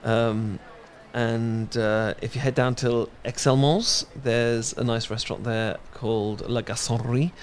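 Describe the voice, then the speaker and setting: A man's voice: medium pitch, fairly even intonation, measured pace, few pauses, a little hiss or hum. A young British man in a romantic audio roleplay, talking shyly with many fillers and restarts. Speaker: ASMR audio roleplay performer.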